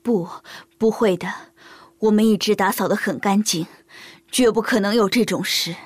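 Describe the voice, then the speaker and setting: An actress playing an anxious, insistent innkeeper in an audio drama, with a husky, breathy voice. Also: female voice, medium pitch, moderate pace, no room sound, studio quality, lightly acted.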